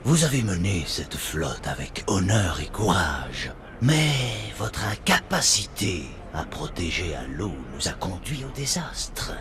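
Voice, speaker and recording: An actor playing a solemn old alien high prophet, gravelly and grandiose, passing judgement in a French game dub. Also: male voice, very animated, a crowd in the background, full character voice. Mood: solemn, condemning. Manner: slow, grandiose, measured. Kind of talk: dramatic French video game dubbing. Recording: music behind the dialogue.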